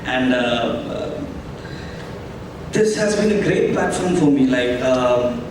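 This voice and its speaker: A man's voice: mid-range voice, animated, slow, distant mic. An actor telling the press about his role, friendly and light. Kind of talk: press conference answers. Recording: echoey hall, mic from afar, noisy.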